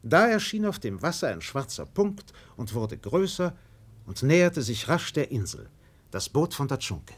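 A man narrating a literary radio play in a solemn, measured way with dramatic pauses. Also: mid-range voice, sing-song, husky.

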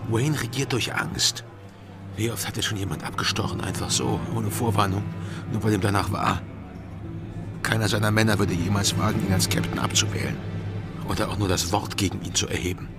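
A man's voice: lowish voice, fairly even intonation, normal speed, long pauses, lightly acted. An actor playing a wary, hot-tempered male pirate mate in a radio drama, tense and doubting. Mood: alarmed doubt. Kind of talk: German radio drama acting. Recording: music underneath.

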